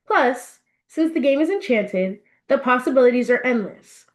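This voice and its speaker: A woman reading her own fiction aloud as an expressive storybook narration. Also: sits high, normal speed.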